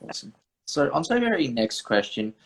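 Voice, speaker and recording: Lively-toned male interview host. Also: fairly high pitch, a little fast. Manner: repeats a set phrase between questions. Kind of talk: scripted interview questions.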